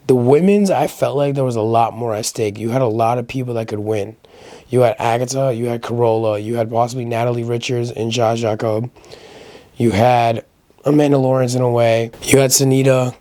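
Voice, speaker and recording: A man hosting a casual solo video commentary, giving opinions conversationally, his voice a little raspy as he gets over a sickness. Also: mid-range voice, mellow, no room sound. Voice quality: slightly raspy. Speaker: host of a powerlifting recap channel.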